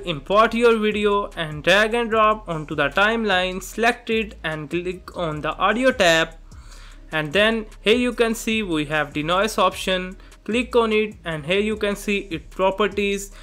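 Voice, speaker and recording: A man presenting a software tutorial, narrating step by step in simple instructional phrases. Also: high-pitched. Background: background music.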